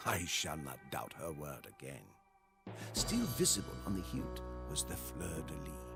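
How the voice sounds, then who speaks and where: An actor playing a solemn soldier chronicler, narrating a game story in a measured, grave and dramatic way. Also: male voice, low voice, exaggerated voice. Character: solemn French soldier chronicling a war as memoir.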